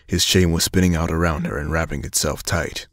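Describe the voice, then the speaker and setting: A man's voice: rumbling low, fairly even intonation, fairly quick, dry recording, studio quality. A man reading scripted story narration as the narrator of an audio drama. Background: music.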